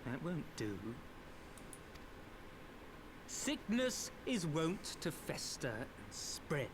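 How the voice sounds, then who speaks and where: Slow, sneering, high-voiced zealot inquisitor. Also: male voice, wildly swooping pitch, background noise, exaggerated voice. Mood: menacing relish. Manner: pompous, mannered, savoring words. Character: haughty, menacing male zealot inquisitor, sneering and theatrical. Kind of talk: video game villain acting.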